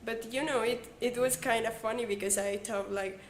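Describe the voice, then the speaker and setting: A woman from Mexico with a Mexican accent and Spanish as her first language, talking haltingly as a guest and searching for her words. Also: on the high side, fairly even intonation, roomy sound. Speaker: guest, former call center agent. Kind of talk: casual conversation practice.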